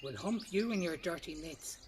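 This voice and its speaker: A woman's voice: lowish voice, animated, normal speed, lightly acted. An Irish woman voicing a proud, blunt, dismissive young sister in a folk tale. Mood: stubborn refusal. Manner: blunt, scornful. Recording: clear background noise with birdsong.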